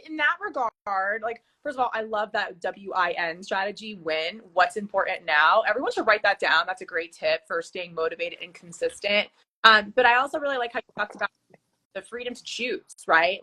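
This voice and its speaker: A woman in her thirties with a bright, forward voice talks fitness as a podcast co-host. She is chatty and enthusiastic, and her casual talk is full of fillers like "you know" and "like.". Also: mid-range voice, fairly quick, rough recording. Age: 30s. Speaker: fitness and nutrition coach, co-host.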